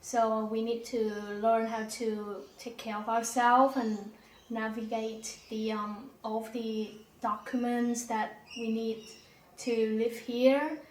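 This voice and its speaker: Slow-speaking young Vietnamese woman. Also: fairly high pitch, level tone, reverberant, recorded from a distance, slight background noise, poor audio. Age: young adult. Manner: hesitant with many ums. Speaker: interviewee. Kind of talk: casual personal interview.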